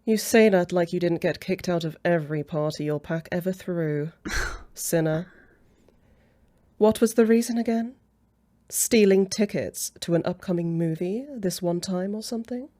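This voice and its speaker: A woman's voice: lowish voice, normal speed, warm tone, lightly acted. A woman playing a haughty, jealous female vampire in an ASMR roleplay, with a snippy, sarcastic way of talking. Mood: mocking. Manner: snippy, sarcastic, easily flustered.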